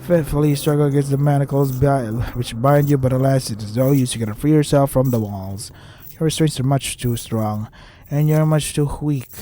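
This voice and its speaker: A man's voice: medium pitch, mellow. A man reading a visual novel aloud as a gaming host, crude and irritated, stumbling over his words as he rants.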